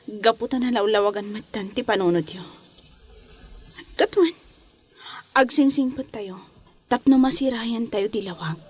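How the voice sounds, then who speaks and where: A woman's voice: medium pitch, natural voice. A woman playing a regretful but hopeful character in an audio drama, speaking in an earnest, plaintive way. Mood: hopeful, grateful. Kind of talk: amateur Ilocano audio drama acting.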